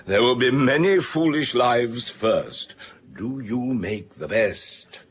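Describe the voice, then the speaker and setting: A man's voice: medium pitch, slow pace, slightly rough. An actor playing a curious, blunt king in a radio drama, stately and clipped. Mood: regal, inquisitive.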